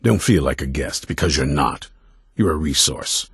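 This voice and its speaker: Game role: grim veteran monster-hunting commander, extremely low and very raspy, blunt and distrustful. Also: male voice, lively intonation, normal speed, a bit bright, no echo, studio quality, exaggerated voice. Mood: curt, commanding. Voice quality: gravelly, husky. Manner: gruff, blunt, stern. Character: grim, distrustful, battle-hardened male vampire hunter commander. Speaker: leader of an order of vampire hunters. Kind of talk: video game character dialogue.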